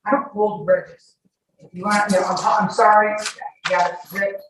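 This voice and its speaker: A woman's voice: mid-range voice, level tone, moderate pace, a bit bright. A woman answering questions as a witness in court, emphatic and repeating her denials. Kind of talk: answering questions under examination. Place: indoor courtroom. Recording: echoey, distant mic, faint noise.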